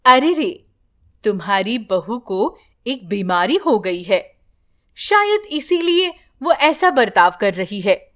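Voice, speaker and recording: A woman doing a calm magic jar, slow and high, explaining gently. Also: full character voice.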